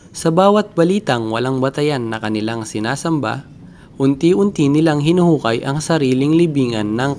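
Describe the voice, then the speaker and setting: A man playing a misunderstood old philosopher in a grave, deliberate, oratorical dramatic monologue. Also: medium pitch, darkish tone, a little hiss or hum, voice pushed up, lightly acted. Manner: oratorical, deliberate, rhetorical questioning. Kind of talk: theatrical dramatic monologue in Filipino.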